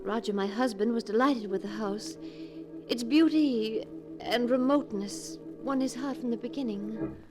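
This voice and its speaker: A high-pitched, uneasy young wife played by a woman in a 1940s radio chiller, with music behind. The speech is earnest and careful, with a devoted, dramatic edge. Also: moderate pace, lightly acted. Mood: uneasy, foreboding.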